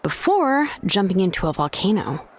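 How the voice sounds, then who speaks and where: A woman voicing an easygoing, considerate young woman adventurer in a video game, with a casual, unhurried way of telling things. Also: medium pitch, very animated, slight background noise, natural voice. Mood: storytelling. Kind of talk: video game character dialogue.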